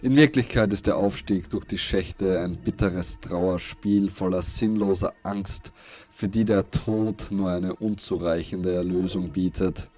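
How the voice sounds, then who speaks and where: A man reading scripted literary narration for a dystopian radio play in a measured, grave storytelling manner. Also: deep voice, steady tone, darkish tone. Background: prominent music behind the voice.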